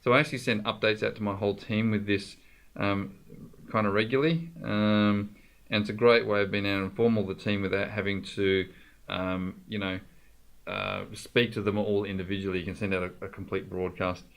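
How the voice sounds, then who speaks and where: A man with a fairly low voice walks through software as a tutorial presenter. His delivery is informal and explanatory, talking straight to the viewer as he goes. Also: level tone, a little fast, creaky.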